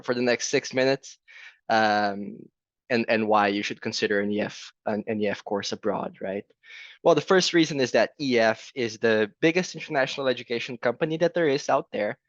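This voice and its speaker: A man with a Brazilian accent presents on a video call, and his low voice comes through dry and a little muffled. He sounds friendly and keeps checking in with "right?" as he goes. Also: no room sound. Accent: Brazilian Portuguese. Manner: friendly, fills pauses with "right?". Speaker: program presenter. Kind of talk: virtual fair presentation.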